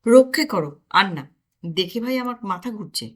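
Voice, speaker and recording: A woman's voice: mid-range voice, lively intonation, normal speed, lightly acted. A woman voicing a lazy, mischievous young man who teases his friend with mock drama. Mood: mock-dramatic.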